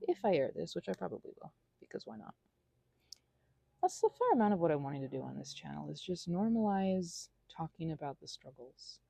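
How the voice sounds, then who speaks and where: Woman, 30s, low and creaky, earnest and halting, intimate ASMR ramble with a self-deprecating streak. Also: sing-song, mellow, no room sound. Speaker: ASMR channel creator. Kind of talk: rambling confessional ASMR monologue.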